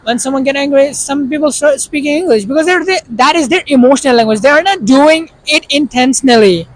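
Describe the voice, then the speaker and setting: A man's voice: very high-pitched, fairly quick, no room sound, rough recording. A man from Pakistan with a Pakistani accent and Urdu as his first language, giving casual, earnest advice straight to the viewer. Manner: earnest, explaining directly to the viewer. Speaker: vlogger.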